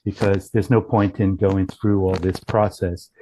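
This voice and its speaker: A man presents his company's product in an informal, explanatory way. His voice sits on the low side and has a very soft, dark tone. He often backs up to rephrase as he goes. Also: flat delivery, a little slow, a little hiss or hum, rough recording.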